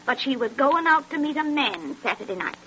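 A woman's voice: high-pitched, lively intonation, fairly quick, bright, forceful tone. An actress playing a talkative, defensive boarding-house landlady in a 1930s radio drama. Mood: confiding. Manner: gossipy, indignant. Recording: noisy, dull 1930s radio recording.

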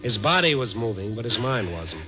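An American actor playing a weary, tough newspaper reporter with a wry, clipped manner in a 1950s radio drama. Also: male voice, lowish voice, big pitch swings, moderate pace, over music. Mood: firm, weary. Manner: wry, clipped, hard-boiled. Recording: dull, noisy old radio recording.

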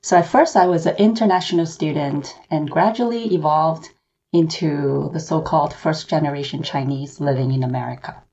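A woman from Hong Kong, an author and seminary professor, answering interview questions in a measured, reflective way. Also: low voice, dark tone, breathy edge, muffled recording.

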